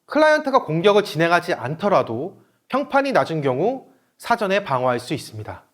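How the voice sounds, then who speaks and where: A man's voice: on the high side, huge pitch swings, fairly quick. A man giving a scripted webinar presentation in a formal, explanatory manner. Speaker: presenter, company manager.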